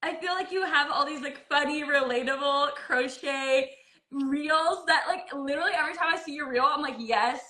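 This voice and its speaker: A young woman hosting a casual live-stream chat, bubbly and quick to laugh, with lots of "like". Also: high voice, pushed and bright. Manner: bubbly, laughs often, says "like" a lot. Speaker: host.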